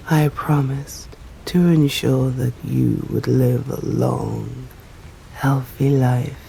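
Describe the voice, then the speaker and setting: A woman with an English accent voicing an ancient, stern female vampire in an ASMR roleplay, speaking formally in slow, hushed whispers. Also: a bass voice, vocal fry, a little hiss or hum, full character voice, low-quality recording.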